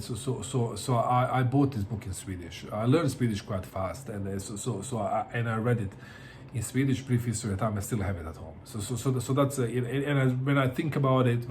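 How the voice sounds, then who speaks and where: A man's voice: lowish voice, darkish tone, a little hiss or hum. A middle-aged man from Bosnia, talking casually to camera about books, hesitant, with many fillers and repeated words. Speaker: book vlogger.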